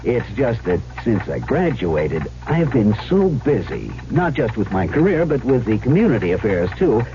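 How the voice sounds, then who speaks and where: An actor playing an affable, self-justifying graduate in a 1970s public service radio spot. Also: male voice, mid-range voice, very animated, moderate pace, hardly any pauses, noisy background. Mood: affable, evasive. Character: busy, excuse-making college graduate, affable. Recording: lo-fi radio broadcast over music.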